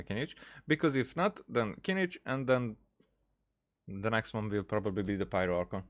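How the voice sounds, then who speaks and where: A man streaming a game with casual commentary, thinking aloud in a halting way and repeating words. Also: mid-range voice. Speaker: gaming streamer.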